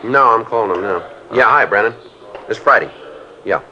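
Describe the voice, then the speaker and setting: An American actor playing a stoic, businesslike police sergeant in a 1950s radio drama, terse and matter-of-fact. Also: male voice, fairly low pitch, moderate pace, sharp tone, a little hiss or hum. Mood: dry, low-key. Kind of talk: 1950s radio acting, clipped procedural narration. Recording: muffled old radio recording with music.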